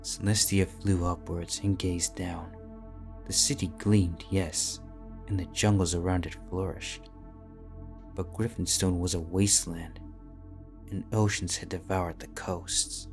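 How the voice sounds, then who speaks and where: Deep slow guy narrating a story, pretty monotone, music going under him. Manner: slow, measured, somber. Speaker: audiobook narrator. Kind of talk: scripted fanfiction narration. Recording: music bed under the reading.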